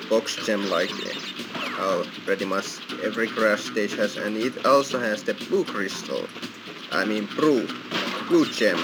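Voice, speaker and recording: A man hosts a casual let's play, explaining as he goes in non-native phrasing. His voice is low and unhurried, with clear game music behind it. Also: low-quality recording. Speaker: gaming channel host. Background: video game music.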